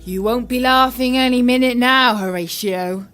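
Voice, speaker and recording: A woman's voice: a little high, fairly bright tone, clean tone, faint music behind, close to an everyday voice. A woman playing a superstitious, uneasy male palace guard in a children's story, with dramatic, old-fashioned delivery. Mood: frightened. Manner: slow, dramatic, mock-Shakespearean.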